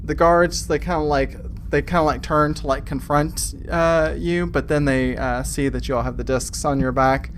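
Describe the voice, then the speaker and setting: A man narrating a tabletop game as game master, in a halting, informal way, piecing the scene together as he talks. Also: on the high side, fairly even intonation, slight background noise.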